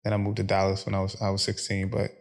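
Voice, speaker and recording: A man from Philadelphia, speaking as a podcast guest in a calm, measured way with frequent pauses. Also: fairly low pitch, gravelly. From Philadelphia, USA. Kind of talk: reflective interview conversation.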